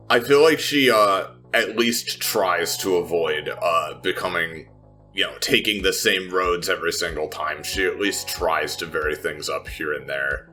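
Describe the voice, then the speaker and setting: A man's voice: sits low, pushed and bright. A man playing a tabletop roleplaying game, describing his character in a thoughtful, tentative way. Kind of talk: describing own character's actions in third person. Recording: music playing behind. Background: background music.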